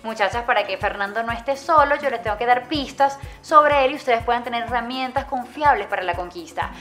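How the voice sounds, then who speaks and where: A woman in her thirties hosting a celebrity interview indoors, bubbly and quick to chime in. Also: on the high side, fairly bright tone, faint music behind. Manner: bubbly, chimes in often with yay and wow. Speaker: host. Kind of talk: entertainment interview. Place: indoor lounge on a leather sofa.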